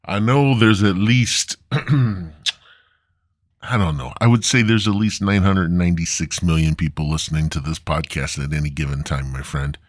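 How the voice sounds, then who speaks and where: A man hosting a solo comedy podcast, rambling casually and crudely with drawn-out, mock-grandiose theatrical delivery. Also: low voice, dry recording, studio quality. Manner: crude, foul-mouthed. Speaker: podcast host.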